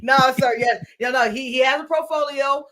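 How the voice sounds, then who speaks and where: High-voiced woman hosting a talk show. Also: normal speed. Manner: animated, laughs often, repeats words. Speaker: show host and business mentor. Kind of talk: casual panel talk show.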